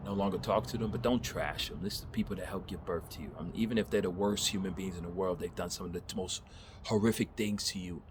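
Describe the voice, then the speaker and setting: Man in his thirties, low voice, casual self-recorded pep talk outdoors, loose and candid. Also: noisy background. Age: 30s. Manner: rambling, candid, laughs at himself, swears casually. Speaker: vlogger. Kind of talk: casual motivational monologue. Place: backyard.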